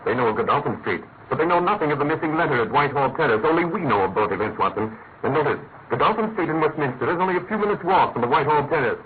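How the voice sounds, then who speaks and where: An actor playing a brilliant, authoritative British detective in a radio drama, speaking incisively and logically. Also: male voice, on the high side, expressive, very fast, few pauses, natural voice. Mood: keen, brisk. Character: brilliant, brisk, commanding male consulting detective. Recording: tinny narrow-band radio recording with noise.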